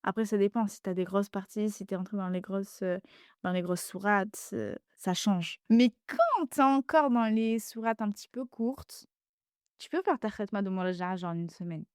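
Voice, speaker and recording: A woman's voice: medium pitch, moderate pace, clean tone, no room sound, studio quality. A young woman guest talking casually on a podcast, full of fillers and restarts, Arabic dialect as her first language. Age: young adult. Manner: hesitant, many fillers and restarts, animated. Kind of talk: casual conversation.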